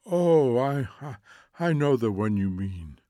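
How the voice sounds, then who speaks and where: A man's voice: medium pitch, very animated, slow, mellow, dry recording, studio quality. A man voicing a vague, forgetful, indifferent wife in a dramatic story reading, hesitant and repeating words as the sentences trail off. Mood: drowsy, faint. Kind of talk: dramatic audiobook character reading.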